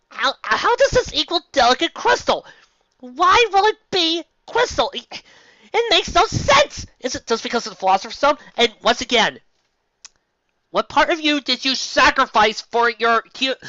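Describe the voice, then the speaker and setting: Someone ranting over a bad fanfic, bright punchy voice, super exasperated, dry slightly muffled mic. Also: medium pitch, dry recording. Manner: exasperated, theatrical, exclaiming. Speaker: fan fiction reader and commentator. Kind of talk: mocking dramatic reading of fan fiction with ranting commentary.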